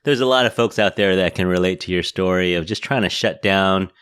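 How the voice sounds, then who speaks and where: A man's voice: deep voice, fairly quick, fairly bright tone, smooth, dry recording, clean studio sound. A man with an American accent hosting a podcast and chatting casually with guests. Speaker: podcast host. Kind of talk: casual interview chat.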